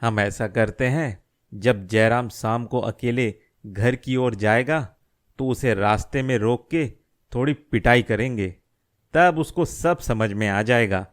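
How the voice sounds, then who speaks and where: A man's voice: mid-range voice, slow, lightly acted. A man voicing a menacing, smug village thug in a cartoon moral story. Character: menacing village thug scheming to seize an old shop.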